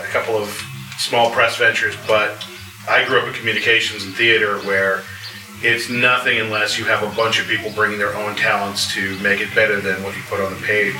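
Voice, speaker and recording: A man with a lowish voice chats at an outdoor panel in a halting, self-restarting way. He is off the mic, with echo and clear background noise. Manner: halting, repeats words as he gathers thoughts. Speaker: audio drama writer and series creator. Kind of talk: casual live panel chat. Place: outdoor patio at a convention. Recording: echoey, distant, noisy outdoor recording.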